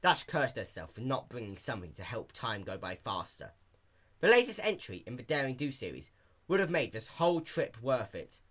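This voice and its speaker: Male amateur storyteller, very lively pitch movement, bright forceful tone, scripted narration. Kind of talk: animated fan-fiction narration.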